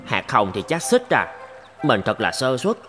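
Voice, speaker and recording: A man's voice: medium pitch, huge pitch swings, a little slow, loud music behind the voice. Man voicing a sly, greedy cartoon monkey. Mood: grudging, scheming. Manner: scheming, smug. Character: sly, greedy, selfish young male monkey. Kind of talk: children's cartoon voice acting.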